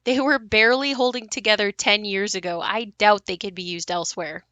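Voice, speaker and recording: Actress as wistful haunted daughter in a radio play, bright voice, super dry close sound, plainspoken. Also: female voice, medium pitch, steady tone, brisk, no echo, natural voice. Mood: wry, casual. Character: wistful, haunted grown daughter revisiting her ruined childhood home. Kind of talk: naturalistic audio play acting with reflective monologue.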